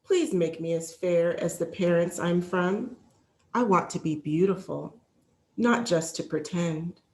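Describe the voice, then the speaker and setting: A woman voicing an earnest, sad young girl in a storybook, hushed and pleading. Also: on the low side, unhurried. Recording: roomy, echoing sound.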